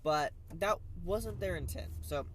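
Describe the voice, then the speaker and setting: A man's voice: a little high, lively intonation, measured pace, a bit bright. A man hosting a casual gaming news recap from his car on the way to work, congested and nasal with a sore throat, chatty and rambling. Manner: chatty, rambling, with fillers and asides. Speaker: host of a gaming commute vlog. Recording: car road noise. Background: car and traffic noise.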